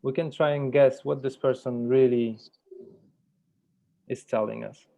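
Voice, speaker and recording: A man facilitating a workshop, speaking in a measured, deliberate way, like someone guiding a group through an exercise. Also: medium pitch, steady tone, vocal fry, dark tone. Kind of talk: guided meditation and workshop facilitation. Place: virtual workshop over video call.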